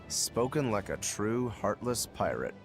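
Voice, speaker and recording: A man voicing a cocky, rough, grumbling swordsman in an anime game. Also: fairly low pitch, big pitch swings, slow pace. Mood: wry. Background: game music.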